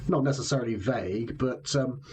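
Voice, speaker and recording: A man's voice: mid-range voice, brisk, a little mellow, a little hiss or hum. A man with a Somerset accent hosting a casual chat, rambling and self-deprecating as he talks. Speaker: podcast host.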